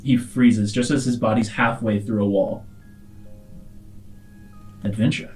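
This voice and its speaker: A man's voice: lowish voice, steady tone, moderate pace, darkish tone, poor audio. Man narrating a tabletop game over music. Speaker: game master.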